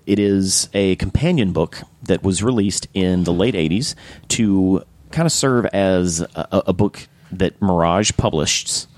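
A man hosting a casual podcast chat, enthusiastic and rambling, with frequent restarts and a slightly hoarse voice. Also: low-pitched, a little mellow, dry recording. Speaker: podcast host.

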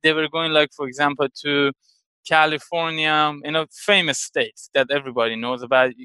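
A man's voice: fairly high pitch, steady tone, normal speed, bright, forceful tone, clear, resonant tone, dry recording, rough recording. A man in his thirties from Iran, a guest chatting casually in an interview, laughing often and checking in with "correct?". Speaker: guest, company founder.

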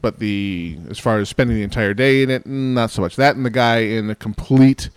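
A man's voice: on the low side, normal speed, clean tone, no echo. A man co-hosting a casual web show, joking and rambling with lots of fillers. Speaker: co-host.

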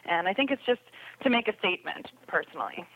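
A woman's voice: mid-range voice, lively intonation, quick pace, narrow, tinny audio. A woman guest on a podcast chatting casually in an agreeable, colloquial way. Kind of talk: casual chat.

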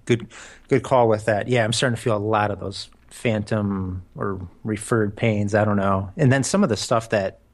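A man's voice: lowish voice, moderate pace. A man co-hosting a running podcast, chatting casually and joking about himself. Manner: joking, self-deprecating. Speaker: podcast co-host. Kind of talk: casual chat.

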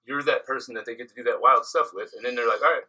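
Animated, lecturing male podcast host. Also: medium pitch, very fast. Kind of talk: casual chat.

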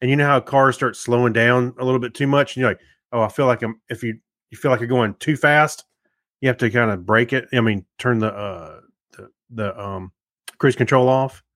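A man's voice: medium pitch, normal speed, a bit bright, no room sound, studio quality. A man co-hosting a podcast, chatting casually, rambling and laughing often. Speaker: podcast co-host. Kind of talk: casual banter.

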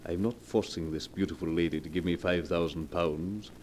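An actor playing a large, middle-aged blackmailer in 1940s radio style, formal and unhurried with oily mock politeness. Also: male voice, deep voice, darkish tone, natural voice. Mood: suavely polite. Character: large, coarse, bearded blackmailer of fifty, suavely menacing. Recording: noisy vintage 1940s radio recording.

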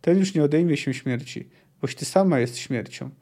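A terrified old beggar mutters a superstitious verse at a killer in this audiobook reading, read by a man. The voice is low and hushed, with a mellow, soft tone. It sounds fearful and mumbling. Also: normal speed.

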